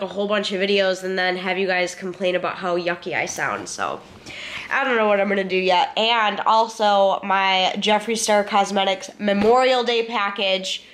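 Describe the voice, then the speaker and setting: A woman's voice: mid-range voice, a bit bright. A young woman with a head cold talks straight into a handheld camera held close to her face, her voice stuffy and nasal from congestion. She rambles in an easygoing, chatty way and swears casually, settled on a couch in her living room. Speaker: vlogger.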